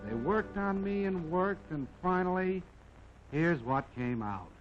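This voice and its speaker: A man's voice: high-pitched, lively intonation, a little slow. A man voicing a modest, plucky army jeep that tells its own life story in a folksy, wry 1940s film narration. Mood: wry, reminiscing. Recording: dull vintage 1940s film soundtrack, music behind.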